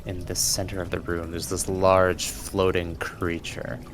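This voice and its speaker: Deep, creaky-voiced male game master. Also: flat delivery, a little slow, slight background noise. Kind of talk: narrating a tabletop fantasy game.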